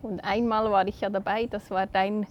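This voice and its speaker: A woman's voice: medium pitch, little pitch movement, moderate pace, muffled recording, a little hiss or hum. Lady cohost, teasing, laughs a lot, faint garden sounds behind. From Switzerland. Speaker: podcast co-host. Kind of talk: casual chat. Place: outdoors in a garden.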